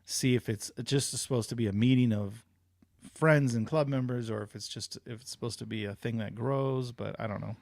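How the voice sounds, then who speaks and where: A man's voice: mid-range voice, moderate pace, vocal fry, a little mellow, no echo, clean studio sound. A man co-hosting a podcast, chatting casually and hesitantly, often trailing off and restarting his sentences. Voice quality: says he has a big voice. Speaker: podcast co-host, dice event organizer. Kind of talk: casual chat.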